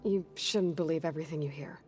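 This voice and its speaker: An actress playing a guarded, determined young heroine in a video game, speaking in a dry, understated, weary way. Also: female voice, deep voice, fast talker, a little mellow, faint music behind, lightly acted. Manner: understated, terse, reserved. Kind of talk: naturalistic video game cutscene acting.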